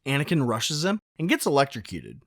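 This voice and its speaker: A man's voice: mid-range voice, huge pitch swings, brisk, fairly bright tone, dry recording, studio quality. A man narrating a film recap casually, with a wry, sarcastic, joking delivery.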